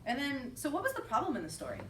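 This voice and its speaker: A woman reading a picture book aloud to young children, pausing to question them and repeat their answers back. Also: sits high, wildly swooping pitch, over music. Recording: roomy echo, distant mic, faint noise.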